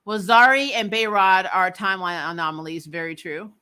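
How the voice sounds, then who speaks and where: A host chatting casually and reacting to viewer comments in an animated, giggly way. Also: medium pitch, moderate pace, clear, resonant tone. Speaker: show host. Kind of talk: casual chat reading and answering live comments.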